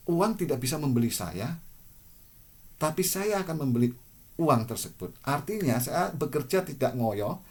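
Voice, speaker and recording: A man in his forties from Indonesia, speaking to camera in a measured, earnest and reflective personal monologue. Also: mid-range voice. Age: 40s. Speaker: vlogger.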